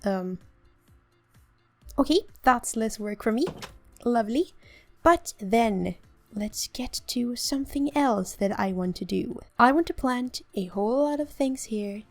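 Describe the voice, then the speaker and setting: A woman's voice: a little high, expressive, measured pace, darkish tone, soft background music. A young woman from southern Sweden with a southern Swedish accent, chatting playfully through her game with a slightly sore throat and frequent little giggles. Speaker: gaming channel host. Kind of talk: casual let's-play commentary.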